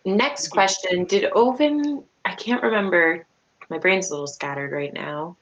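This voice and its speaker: A woman's voice: lowish voice, measured pace, lo-fi sound, a crowd in the background. A woman playing a tabletop game online, chatting casually with a tentative, questioning manner. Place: online voice call.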